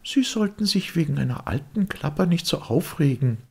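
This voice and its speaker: A man's voice: on the high side, lively intonation, moderate pace, vocal fry, slightly rough, voice pushed up, full character voice. A man voicing a sensible, anxious little storybook girl, polite, earnest and easily indignant.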